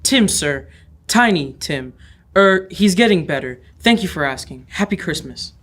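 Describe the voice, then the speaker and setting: A woman's voice: on the low side, lively intonation, measured pace. A woman playing a meek, kindly male office clerk in a live radio drama, deferential and hesitant. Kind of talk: live old-time radio drama reading.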